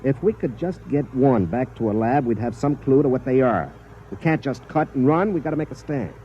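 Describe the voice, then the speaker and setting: A man's voice: mid-range voice, lively intonation, a little fast, slight background noise, natural voice. An actor playing a weary, stubborn soldier on a colony world, narrating his story in plain, grim first person, in 1950s radio drama style. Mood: tense. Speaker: narrator and lead character. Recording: dull old radio broadcast.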